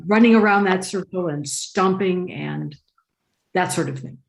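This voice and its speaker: A woman's voice: lowish voice, a little slow, gravelly, low-quality recording. A woman congregant, the synagogue president, musing wryly on a video call. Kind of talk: casual chat.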